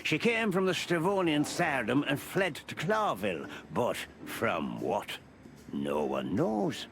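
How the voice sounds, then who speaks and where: A man's voice: mid-range voice, sing-song, takes it slowly, vocal fry, a bit bright, exaggerated voice. A weary old fellow from a noir game, wistful and fatherly. His folksy speech rises and falls expressively over a music bed. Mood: warning, fatherly. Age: older. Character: weary, kindly old male animal informant in noir. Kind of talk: film-noir video game acting. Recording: music under the voice.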